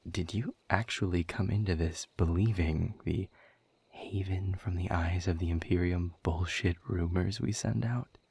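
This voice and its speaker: A man playing a sardonic, world-weary demon director in a scripted audio roleplay, speaking in dry, sarcastic, wordy drawls with a husky, breathy low voice. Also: darkish tone, exaggerated voice. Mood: incredulous, condescending. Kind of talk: scripted audio roleplay monologue addressed to the listener.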